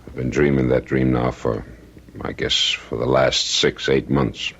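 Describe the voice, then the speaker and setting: Stubborn middle-aged cop, rock-bottom pitch, heavy fry, plain-spoken, weary, radio play, muffled lo-fi sound. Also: male voice, little pitch movement, measured pace, fairly bright tone, exaggerated voice. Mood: weary, brooding. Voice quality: gravelly, husky. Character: weary, stubborn veteran policeman and husband. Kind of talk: old-time radio drama acting. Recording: dull, lo-fi radio recording.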